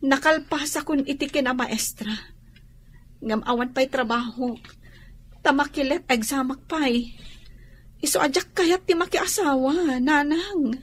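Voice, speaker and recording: A high, youthful Ilocano woman's voice plays a stubborn student daughter in a radio drama. She argues for her own choices over a faintly noisy recording. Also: unhurried, long pauses. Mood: protesting. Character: young woman student teacher resisting an arranged marriage.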